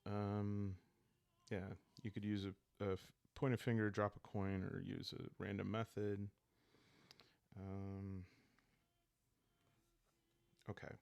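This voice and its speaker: A man calmly reading game rules in an ASMR style, soft-spoken and unhurried, with casual asides as he thinks aloud. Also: fairly low pitch, gravelly, clean tone, dry recording. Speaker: host of a solo roleplaying game playthrough. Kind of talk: calm ASMR rulebook reading with casual commentary.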